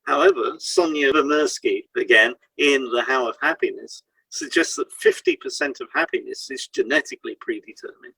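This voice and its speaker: A man's voice: medium pitch, gravelly, sharp tone, breathy edge, dry recording, rough recording. A man reading a scripted educational piece aloud as a podcast co-host.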